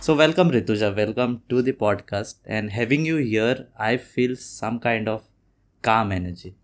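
A man hosting a podcast, welcoming his guest enthusiastically and filling pauses with short acknowledgements. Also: on the low side, faint music behind. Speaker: podcast host. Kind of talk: podcast interview.

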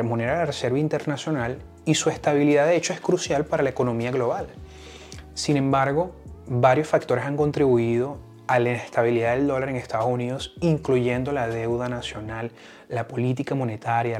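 A man's voice: medium pitch, a little mellow. A man with a Venezuelan accent hosting an explanatory podcast in a partly scripted monologue. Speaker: podcast host.

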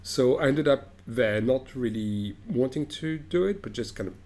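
A man's voice: medium pitch, expressive, normal speed, dark tone. A middle-aged man from Morocco, an opera director, answers interview questions thoughtfully, with frequent restarts and fillers.

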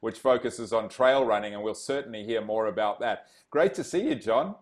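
Man from zimbabwe, podcast host, enthusiastic, lowish voice, chatty. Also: lively intonation, normal speed. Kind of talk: casual interview chat.

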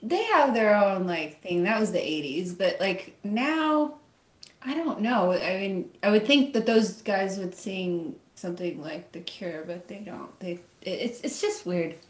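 A woman co-hosting a casual talk show, speaking in a lively, animated way with frequent giggles. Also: mid-range voice, measured pace, reverberant. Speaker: podcast co-host. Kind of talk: casual chat.

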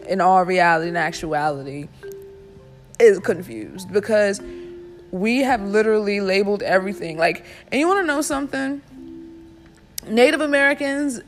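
A woman in her twenties hosting a solo podcast, ranting bluntly and emphatically with a lot of swearing. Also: medium pitch. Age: 20s. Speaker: podcast host. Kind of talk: casual solo podcast rant.

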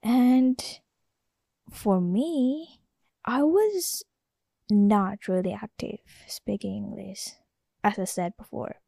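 A woman's voice: mid-range voice, takes it slowly, gravelly, pauses often, warm tone, slightly rough, no room sound, clean studio sound. An Indonesian woman, a native Indonesian speaker with an Indonesian accent, hosting a casual solo podcast in a rambling, hesitant way full of fillers. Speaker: podcast host.

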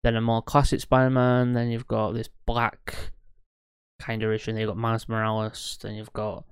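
A man's voice: fairly low pitch, monotone, normal speed, clean tone, dry recording, studio quality. A man co-hosting a podcast in casual chat, eager and rambling, often correcting himself mid-sentence. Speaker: podcast co-host.

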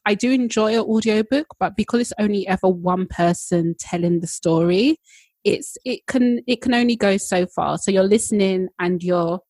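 A woman's voice: medium pitch, a little mellow, no room sound. A woman co-hosting a podcast, chatting casually with many fillers. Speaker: podcast co-host. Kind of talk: casual chat.

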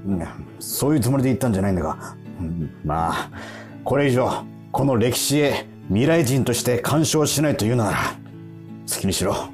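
A man's voice: medium pitch, lively intonation, brisk, darkish tone, faint music behind, natural voice. Man acting blunt time patrol officer. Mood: resigned. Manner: blunt, brusque. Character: gruff time patrol officer, reluctantly lenient. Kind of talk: radio drama acting.

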